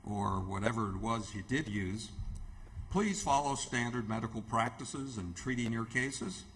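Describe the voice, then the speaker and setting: An actor playing a polite, cautious hospital supervisor with a tactful, businesslike, slightly hesitant manner in old-time radio theatre. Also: male voice, mid-range voice, measured pace, a bit bright, a little hiss or hum, natural voice.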